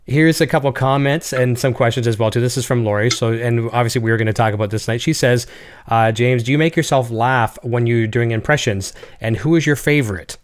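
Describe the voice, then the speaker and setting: A man's voice: mid-range voice, a little fast. A Canadian man hosting an interview, chatty and eager, reading out viewer messages. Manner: chatty, enthusiastic, reads viewer comments. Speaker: show host.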